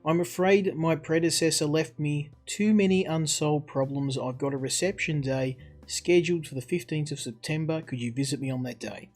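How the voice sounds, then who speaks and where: A man's voice: on the high side, normal speed, hardly any pauses, darkish tone, faint music behind, natural voice. Man reading as a polite, careful new mayor. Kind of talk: visual novel dialogue read aloud.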